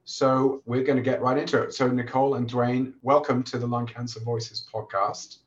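Hoarse man hosting a podcast. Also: medium pitch, normal speed, muffled recording. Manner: relaxed, with frequent fillers and restarts. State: hoarse from recent illness. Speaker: podcast host and oncologist. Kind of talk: conversational podcast interview.

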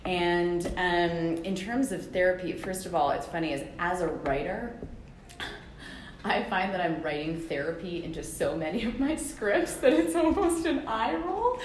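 Woman panelist, actress-writer, very low voice, chatty, echoey room, background noise. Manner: chatty, self-deprecating, laughs often. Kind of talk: conference panel talk. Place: conference room.

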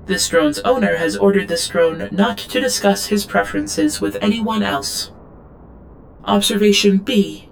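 A woman's voice: medium pitch, gravelly, slight background noise, low-quality recording. A woman playing an obedient female servant drone in an audio drama, reporting in a stilted, clinical third-person manner. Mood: clinical, detached. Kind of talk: scripted audio drama monologue.

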